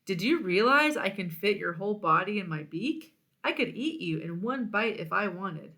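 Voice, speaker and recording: A woman's voice: mid-range voice, animated, normal speed, pushed and bright. A woman voicing a blunt, easily bored and indignant female vulture in a storybook.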